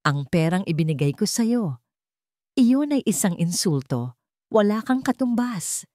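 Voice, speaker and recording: A woman's voice: mid-range voice, very animated, a little slow, dark tone, no echo, studio quality. Woman voicing an earnest, tender man in love. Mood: earnest, pleading. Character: remorseful grown man, earnest, tender widowed father in love. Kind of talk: audio storybook romance acting.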